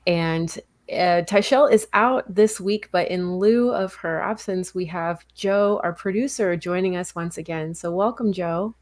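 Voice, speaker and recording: A woman's voice: lowish voice, measured pace, a little mellow. A woman hosting a live online talk show, earnest and explanatory, introducing her co-host and guest over a video call. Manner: earnest, explanatory, with some fillers and self-corrections.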